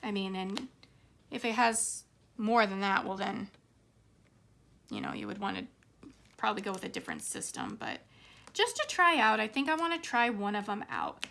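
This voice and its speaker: A woman hosting a craft vlog, rambling casually to her viewers, her voice a little hoarse. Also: medium pitch, big pitch swings, normal speed, pauses often, pushed and bright. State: slightly hoarse.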